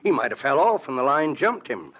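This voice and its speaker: An actor playing a comic cowpoke sidekick with a rustic, folksy way of talking, on a lo-fi vintage radio recording. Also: male voice, medium pitch, very animated, brisk, fairly bright tone. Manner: folksy drawl, rustic grammar, hesitant. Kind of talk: 1940s radio acting.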